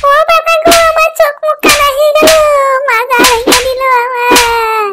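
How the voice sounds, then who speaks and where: A woman voicing a foul-mouthed, put-upon wife in a cartoon comedy, dramatic and shrill. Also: normal speed, heavy background noise. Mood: pained wailing. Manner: scolding, wailing, dramatic.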